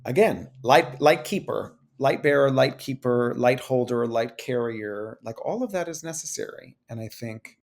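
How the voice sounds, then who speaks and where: Male guest, gravelly mid-pitched voice, lively casual interview talk, repeats phrases. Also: moderate pace, few pauses. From Cuban-American. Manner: animated, repeats phrases and restarts often. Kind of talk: casual conversation. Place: New York City.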